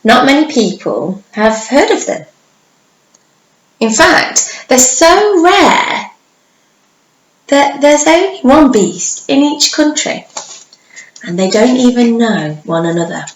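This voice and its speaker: A woman reading a children's story aloud like a primary teacher, with dramatic pauses and drawn-out words for young listeners. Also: fairly high pitch, sing-song. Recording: echoey room, faint background noise.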